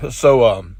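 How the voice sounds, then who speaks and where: A man's voice: mid-range voice, gravelly, breathy edge, no room sound. Congested man chatting casually. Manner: laid-back, joking, swears freely. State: congested with a cold. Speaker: truck driver. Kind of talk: casual driving vlog.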